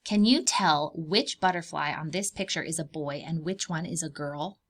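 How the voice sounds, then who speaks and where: A woman's voice: sits low, normal speed. A woman hosting a read-aloud lesson for preschoolers, explaining things simply and expressively and putting questions to her young listeners. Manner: expressive, emphasizing key words for small children. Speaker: children's read-aloud host and preschool teacher.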